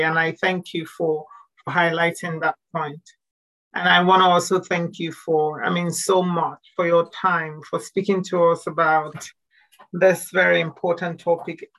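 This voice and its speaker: An interview host summing up a guest's points in a measured way, repeating key phrases for emphasis. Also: medium pitch, fairly bright tone, low-quality recording. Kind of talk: formal interview hosting.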